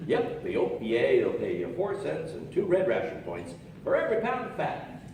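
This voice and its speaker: An actor playing a patriotic wartime radio announcer selling to listeners on a live stage. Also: male voice, mid-range voice, huge pitch swings, moderate pace, gravelly, a little mellow. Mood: punchy, wry. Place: stage of an opera house, live show. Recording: echoey hall, far mic, faint noise.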